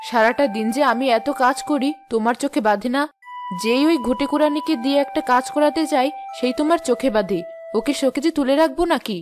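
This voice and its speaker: Woman acting shrewish cartoon aunt, high-pitched scolding. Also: a little fast, lightly acted. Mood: angry, aggrieved. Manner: scolding, sarcastic. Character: shrewish, scheming, cruel aunt bullying her orphaned niece. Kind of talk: cartoon melodrama dialogue. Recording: music behind the voice. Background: background music.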